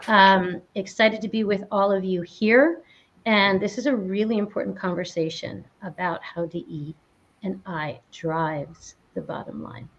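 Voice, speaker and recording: A woman's voice: medium pitch, dull audio. Gracious woman from Puerto Rico. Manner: warm, gracious, with pauses. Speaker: panelist.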